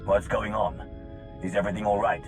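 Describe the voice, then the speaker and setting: An actor playing a composed, authoritative commander in a game cutscene, speaking in a direct, serious manner. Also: male voice, fairly low pitch, flat delivery, brisk, over music, close to an everyday voice. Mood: concerned, firm. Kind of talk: video game cutscene acting.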